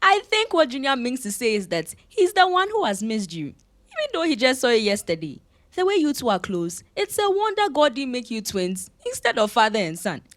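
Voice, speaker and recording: A woman playing a sassy, loving young lawyer in an audio drama, teasing and expressive. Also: a little high, moderate pace, dry recording. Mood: warm, teasing.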